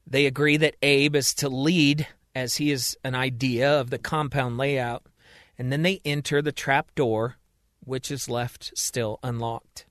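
A man telling a serial thriller in steady, expressive scripted narration. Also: mid-range voice, a little slow, no echo, clean studio sound. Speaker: storyteller.

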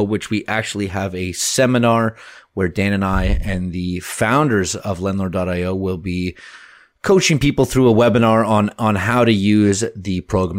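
A Canadian man co-hosting a real estate podcast as a mortgage broker, talking in a relaxed, joking way. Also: on the low side, dry recording, clean studio sound. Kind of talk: casual podcast chat.

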